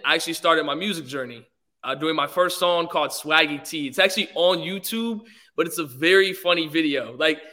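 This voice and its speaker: A man's voice: high-pitched, pushed and bright. A young man from England, a guest on a podcast, talking politely and easygoingly with many fillers. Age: young adult. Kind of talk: casual interview.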